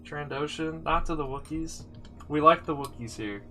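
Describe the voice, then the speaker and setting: A man's voice: fairly high pitch, moderate pace, sharp tone. A man with a slightly congested voice, chatting casually on a live stream as he builds sets. He thinks aloud and answers viewers' comments. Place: indoors, his room. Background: faint music playing.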